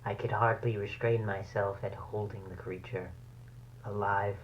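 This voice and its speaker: An actor playing an anxious scientist slowly turning into a bat creature, recording a horror audio diary in a measured, brooding, halting way. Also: male voice, lowish voice, little pitch movement, lightly acted. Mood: disturbed. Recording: dull, humming, noisy tape recording.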